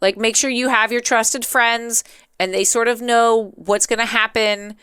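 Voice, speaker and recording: A talkative woman hosts a casual livestream with a bright, forward voice that is strikingly clean and smooth. She rambles off on tangents and reacts expressively, with playful drama. The sound is close and dry. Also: fairly high pitch, steady tone, a little slow, dry recording. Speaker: live streamer. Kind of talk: casual chatty livestream commentary.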